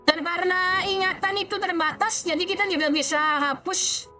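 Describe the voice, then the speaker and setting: A woman's voice: very high-pitched, fast, music in the background. A woman with an Indonesian accent giving casual live-stream commentary as a VTuber playing a game.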